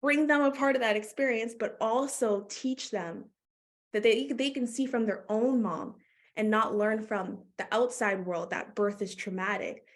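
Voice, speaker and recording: A woman from Canada, talking casually as a guest in an interview, full of "like" fillers and quick laughs. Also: on the high side. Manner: chatty, many "like" fillers, laughs often. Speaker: guest, doula.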